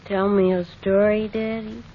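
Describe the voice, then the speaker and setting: Woman as a slow-spoken little boy, old radio. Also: medium pitch, flat delivery, slight background noise, close to an everyday voice. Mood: innocent. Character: pleading, affectionate little boy. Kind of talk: old-time radio drama acting. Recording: dull old radio recording.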